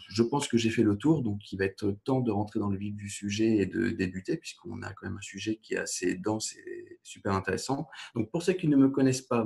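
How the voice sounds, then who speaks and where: A man's voice: fairly low pitch, gravelly, a little mellow. A man hosting a live webinar, making orderly welcome announcements. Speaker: webinar host.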